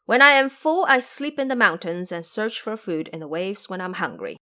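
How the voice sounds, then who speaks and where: A woman's voice: mid-range voice, sing-song, moderate pace, pushed and bright. A woman voicing a boastful, man-eating male river monster in an audio drama, grandiose and threatening in manner. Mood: boastful, menacing.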